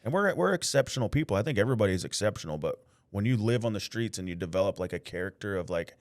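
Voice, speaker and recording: A man's voice: lowish voice, expressive, racing, dry recording. A man hosting a podcast, speaking earnestly and often filling his speech with "like" and "man", sharing his own experience as he asks questions. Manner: earnest, faith-driven, fills with "like" and "man". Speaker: podcast host. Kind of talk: casual interview conversation.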